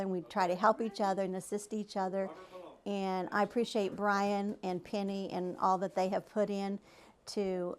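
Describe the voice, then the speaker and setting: Brief: everyday woman, mid pitch, hesitant and rambling as she talks about her troupe. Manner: hesitant, lots of ums and restarts. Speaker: radio theater troupe member. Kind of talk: casual interview.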